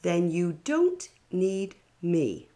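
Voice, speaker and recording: A woman acts out a stubborn little schoolgirl from a picture book. She is standoffish and refuses curtly and emphatically, with an animated pitch and measured pace. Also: full character voice. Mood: dismissive.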